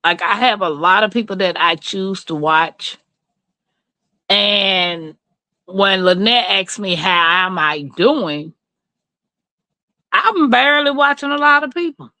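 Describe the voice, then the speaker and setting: A woman's voice: medium pitch, lively intonation, slow, long pauses, bright, forceful tone, no echo. A middle-aged woman with a Southern US accent, chatting casually and candidly with livestream viewers in a folksy way. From Mississippi, rural southern United States. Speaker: livestream host.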